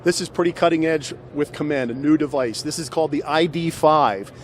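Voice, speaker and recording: A man's voice: a little high, brisk. A middle-aged man presenting products conversationally into a handheld microphone in a trade show hall. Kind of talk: promotional product demonstration, conversational. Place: large busy trade show hall, indoors. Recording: clear background noise of exhibition hall.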